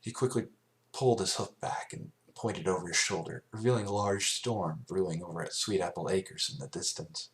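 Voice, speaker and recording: Low-pitched man reading a story. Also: moderate pace. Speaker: amateur fan fiction narrator. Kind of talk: scripted story narration.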